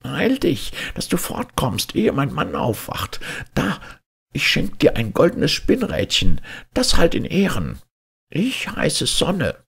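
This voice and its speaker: A man's voice: on the high side, wildly swooping pitch, slow pace, gravelly, fairly bright tone, slightly rough, voice pushed up, heavily acted. A man voicing a kindly, worried old woman in a storybook fairy tale. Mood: urgent.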